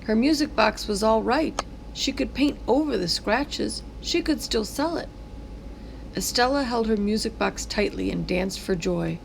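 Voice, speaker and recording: A woman reading a children's story aloud in an expressive, dramatic way, drawing words out. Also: fairly high pitch, measured pace, vocal fry, a little hiss or hum, low-quality recording. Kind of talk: expressive read-aloud narration.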